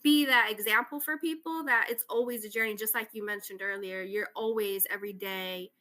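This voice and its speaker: A woman's voice: medium pitch, steady tone, fairly quick, sharp tone. A woman from New Jersey who speaks English as a second language, talking casually and reflectively as an interview guest. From Camden, New Jersey, USA. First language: not English, English is second language. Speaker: interview guest, author and social worker.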